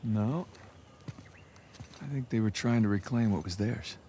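A man's voice: on the low side, a little slow, mellow, a little hiss or hum, close to an everyday voice. A man in his thirties with an American accent playing a wry, wisecracking treasure hunter in a video game, casual and sardonic. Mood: wry, curious. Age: 30s. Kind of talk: video game cutscene acting.

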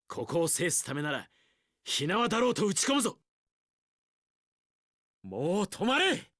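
A young samurai general from an action game, resolute and sunny. His high, bright voice carries heroic, earnest declarations. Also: no room sound, clean studio sound, full character voice. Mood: confident. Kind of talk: anime-style action game voice acting.

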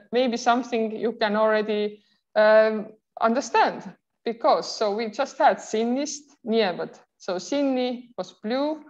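A woman in her thirties with an Estonian accent, giving an online university lecture with frequent filler sounds and restarts. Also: fairly high pitch. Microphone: video call. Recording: muffled video call audio.

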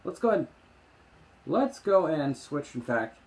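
A man talking off the cuff as he explains and demonstrates, in a halting, meandering, opinionated way. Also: on the high side, big pitch swings, a little mellow, slightly rough. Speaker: vlogger demonstrating screen reader voices. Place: small room indoors. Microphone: handheld phone, later lying on desk.

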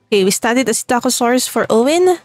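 A woman's voice: fairly high pitch, lively intonation, quick pace, darkish tone, no echo, soft background music. A young Filipino woman with a Tagalog background, chatting cheerfully as she plays a game and talks to her viewers, with frequent laughs. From Philippines. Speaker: gaming channel host. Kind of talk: let's play commentary.

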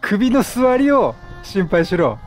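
Chatty, animated man commentating on a game. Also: sits high, slow pace. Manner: chatty, expressive, reactive. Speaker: game streamer. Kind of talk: casual Let's Play commentary. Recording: game music behind.

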